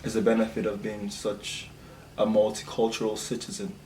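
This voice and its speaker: A man's voice: fairly low pitch, monotone, normal speed, a little mellow. A young man from Cameroon chatting casually and explaining his views in an informal way, as the host of a video discussion. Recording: echoey room with some background noise.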